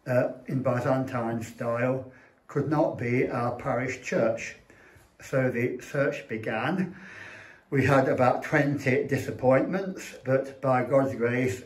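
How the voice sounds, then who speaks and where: Older English male, priest, slow and halting delivery, recorded in an echoey small room. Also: medium pitch. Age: older, around 70s. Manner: halting, measured, earnest. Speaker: Orthodox parish priest. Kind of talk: unscripted fundraising address to camera. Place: small indoor chapel room. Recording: roomy echo from camera mic.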